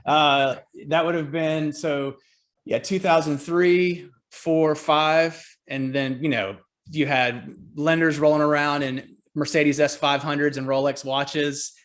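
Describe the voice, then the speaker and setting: A man's voice: on the high side, steady tone, takes it slowly. A man in his forties with a Southern American accent, from Georgia, talking casually about his career in a video call interview, chatty and self-deprecating. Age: 40s. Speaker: real estate agent and team leader.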